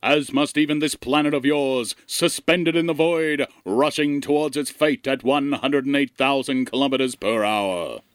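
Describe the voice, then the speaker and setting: A man's voice: medium pitch, moderate pace, few pauses, clear, resonant tone, no echo, clean studio sound, close to an everyday voice. A man playing a pompous, morbid disembodied alien intelligence in a comedy radio play, speaking with grandiose, solemn disdain. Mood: grandiose, disdainful.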